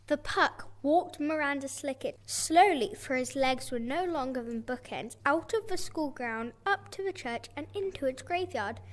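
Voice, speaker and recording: A woman's voice: high-pitched, lively intonation, moderate pace, hardly any pauses. A young girl reading scripted narration for a radio play. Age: child or young performer.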